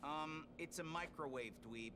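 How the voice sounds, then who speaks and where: Snarky tough turtle kid guy voicing, highish pitch, impatient, slangy, game cutscene. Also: unhurried, background noise, lightly acted. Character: brash, sarcastic teenage turtle brawler. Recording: game music behind.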